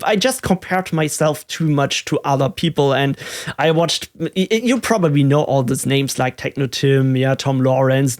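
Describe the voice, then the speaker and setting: German-accented man, candid and reflective. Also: on the high side. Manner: reflective, with fillers and restarts. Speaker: tech YouTuber. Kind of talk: candid personal vlog.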